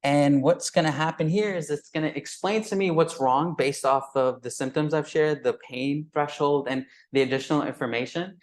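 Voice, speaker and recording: A man in his twenties whose first language is Urdu, giving a live software demo at a meetup, halting with many fillers and restarts and tagging points with "right?". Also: a little high. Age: 20s. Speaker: teacher presenting at a meetup.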